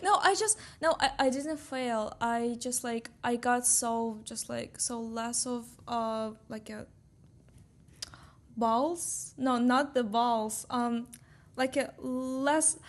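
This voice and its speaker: A woman's voice: a little high, steady tone, slow pace. A young woman from Kyrgyzstan with a Russian accent, a student guest speaking hesitantly and searching for her words. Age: young adult. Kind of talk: casual interview chat.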